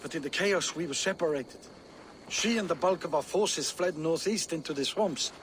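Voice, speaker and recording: A man's voice: on the high side, moderate pace, a bit bright, a little hiss or hum, close to an everyday voice, poor audio. A man playing a proud, loyal but beaten Viking warrior in a video game, telling his tale emphatically. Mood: bitter, agitated. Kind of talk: dramatic video game cutscene acting.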